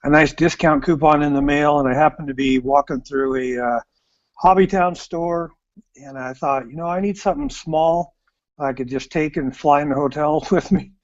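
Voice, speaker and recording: A man's voice: a little high, moderate pace. A man with an American accent, a guest on an online call, talking in an easygoing, rambling way. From United States. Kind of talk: casual chat. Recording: dull lo-fi call audio.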